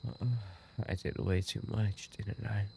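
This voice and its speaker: A man's voice: lowish voice, level tone, a little slow, creaky, dark tone, a little hiss or hum. A man playing a dying, obsessive and remorseful yandere roommate in an audio roleplay, speaking in weak, broken, repeated phrases. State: weakened, dying from an injury. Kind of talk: boyfriend ASMR audio roleplay acting.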